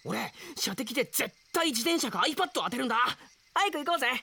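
Carefree boy, high and lively. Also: a little fast, heavily acted. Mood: cheerful, eager. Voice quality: boyish. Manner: casual, rough boyish speech, eager. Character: carefree, friendly boy traveller, playful companion. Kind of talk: comic Japanese radio drama acting.

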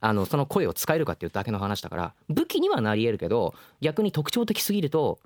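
Male radio host, dry close sound, hugely varied pitch, frank and conversational advice-giving. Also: wildly swooping pitch, fast, no echo. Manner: earnest, frank, slangy, explains with examples. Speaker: radio show host, voice actor. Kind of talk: casual radio advice chat.

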